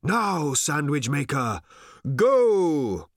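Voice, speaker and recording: Give the old mystic , played by a man, a raised, booming shout with drawn-out words. He is urgent and commanding, an aged man in an audiobook tale, pitched well up and recorded close and dry. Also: very animated, dry recording, heavily acted.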